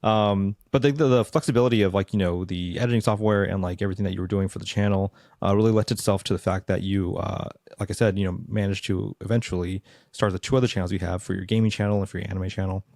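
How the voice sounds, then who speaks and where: A male podcast host with a low, gravelly voice that often drops into fry. He rambles easily through his questions. The sound is dry and close to the mic. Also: fast talker, hardly any pauses, no room sound. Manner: relaxed, filler-heavy, many ums and likes. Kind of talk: casual podcast interview.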